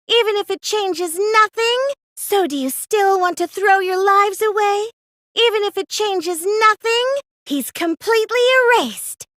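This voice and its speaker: Girlish game villain: extremely high, bright voice, theatrical, taunting, smug mastermind obsessed with fiction. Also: no echo, clean studio sound, heavily acted. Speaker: video game voice actor. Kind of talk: scripted anime-style English game dub acting.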